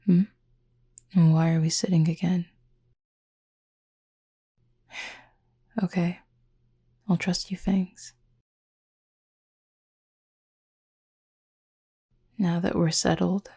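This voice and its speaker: Woman voicing a husky, gravelly, reserved cupid roommate. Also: fairly low pitch, flat delivery, exaggerated voice. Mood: curious, trusting. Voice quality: husky, breathy, often near whisper. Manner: formal, measured, gently wry. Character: reserved, formal, tender supernatural cupid roommate. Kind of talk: intimate audio roleplay acting to the listener.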